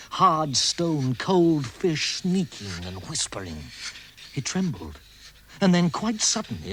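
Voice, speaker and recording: A man narrating a vintage radio drama as a measured, dramatic storyteller. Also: medium pitch. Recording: slightly noisy vintage 1960s radio recording.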